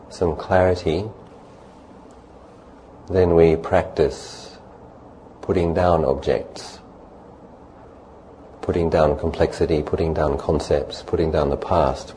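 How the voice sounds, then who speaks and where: A man leading a guided meditation as a teacher, speaking calmly and unhurriedly in short phrases with long pauses. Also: extremely low pitch, low-quality recording. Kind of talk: guided meditation, spoken instruction. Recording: faint background noise and ambience.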